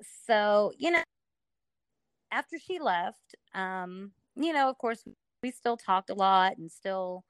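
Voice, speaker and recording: A woman's voice: medium pitch, animated, slow, a bit bright, smooth, dry recording. A middle-aged woman from Alabama, telling her story as a guest in a casual interview, chatty with many fillers.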